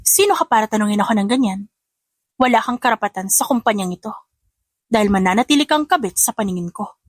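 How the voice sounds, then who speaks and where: A woman's voice: medium pitch, expressive, quick pace, no room sound, close to an everyday voice. Woman acting spiteful young heiress, taunting and defiant. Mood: scornful. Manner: taunting, confrontational.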